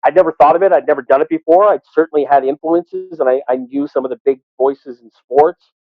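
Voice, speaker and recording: A man's voice: fairly high pitch, fairly quick, no room sound. Man on a phone-like line. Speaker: sports public address announcer. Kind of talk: conversational interview answers. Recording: tinny, phone-like call audio.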